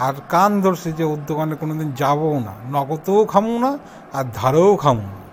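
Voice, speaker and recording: Guy voicing a young gossipy complaining cartoon friend, eastern bengali accent, voice a bit high. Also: lively intonation, moderate pace, a little hiss or hum, natural voice. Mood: grumbling, commiserating. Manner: chatty, complaining. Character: aggrieved young male pal, gossiping and grumbling. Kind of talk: amateur comic cartoon dialogue.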